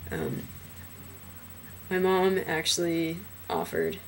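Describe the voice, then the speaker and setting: A young transgender man in a casual personal vlog, talking hesitantly with many fillers and restarts, his trained low voice deepened further by a cold. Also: very animated, takes it slowly, fairly bright tone, a little hiss or hum, rough recording. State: voice lowered by illness.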